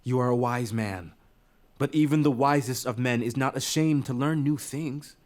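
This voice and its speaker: An actor playing an earnest young prince in an impassioned dramatic reading of Greek tragedy. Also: male voice, mid-range voice, normal speed, darkish tone, natural voice. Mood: respectful, pleading. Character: earnest young prince pleading with his royal father.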